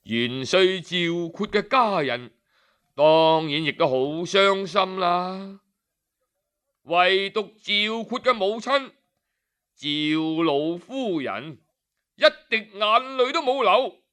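Man, Cantonese accent, storyteller, high voice, clear and smooth, dramatic sighs. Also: animated, slow, sharp tone. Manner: dramatic, sighing, shifts pitch for quoted figures.

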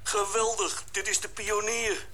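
An eager male radio operator aboard a spaceship in a radio drama. His voice is fairly high and bright, courteous in delivery, with background noise. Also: level tone, measured pace, low-quality recording. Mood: delighted. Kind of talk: radio play acting.

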